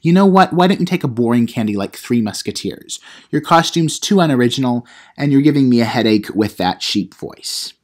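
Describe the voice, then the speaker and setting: A man's voice: mid-range voice, lively intonation, brisk, lightly acted. A man playing a cheeky, self-important teenage vlogger who gives mock how-to advice in an audio comedy. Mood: smug, instructive. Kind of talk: comedic how-to vlog monologue.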